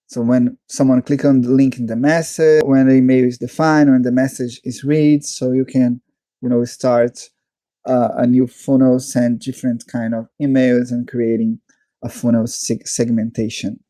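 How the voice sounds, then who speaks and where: A man's voice: mid-range voice, flat delivery, normal speed, dark tone, dry recording. A man giving a casual, matter-of-fact product walkthrough as a reviewer, going through features step by step. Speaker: software reviewer, channel host.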